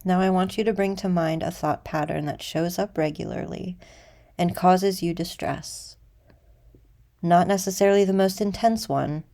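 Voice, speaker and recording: A woman leads a guided meditation in a soft-edged, very mellow voice. Her register sits a little low, with a gravelly creak. She gives instructions deliberately, leaving space between phrases. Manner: measured, deliberate, with pauses.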